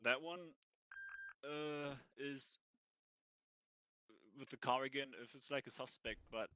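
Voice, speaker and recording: A man with a cold answering interview questions in a roleplay, halting and thinking aloud as he goes. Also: mid-range voice, steady tone, bright, forceful tone, clear, resonant tone, low-quality recording. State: has a cold. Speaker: ranger job applicant.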